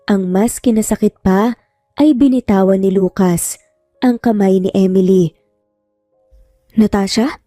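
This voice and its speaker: A woman's voice: medium pitch, measured pace, dark tone, no room sound, clean studio sound. A woman reading a scripted romantic drama as a storyteller. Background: music.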